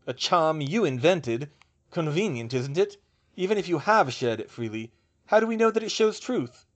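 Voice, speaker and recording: A man's voice: on the high side, sing-song, moderate pace, pitched above the performer's own voice. A man voicing a male ambassador in an audiobook, suspicious and challenging, with pointed, needling delivery. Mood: challenging, sardonic.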